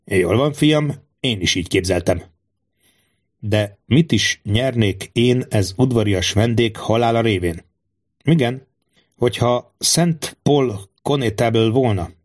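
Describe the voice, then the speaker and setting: A man's voice: mid-range voice, natural voice. A man playing a suspicious, scheming king in an audiobook, speaking in a theatrical, musing and fatherly way. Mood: sly, musing.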